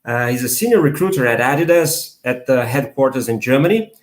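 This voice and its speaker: Brazilian male host, halting delivery, roomy echo. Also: medium pitch, lively intonation. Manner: hesitant, many fillers and restarts. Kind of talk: live online interview.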